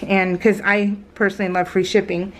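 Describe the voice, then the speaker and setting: An American woman chatting casually as a craft vlogger, telling stories in a rambling, animated way and acting out what people said. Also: mid-range voice, moderate pace, a little hiss or hum. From California, United States. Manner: rambling, animated retelling with acted-out quotes. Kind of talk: casual chat while crafting, voiced in real time.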